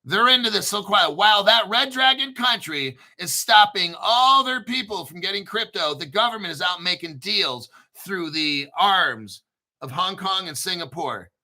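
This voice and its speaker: A man's voice: high-pitched, lively intonation, moderate pace, bright, forceful tone, poor audio. A man hosting his own crypto channel, talking casually and conspiratorially, spelling out names letter by letter and swearing now and then. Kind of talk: casual solo commentary.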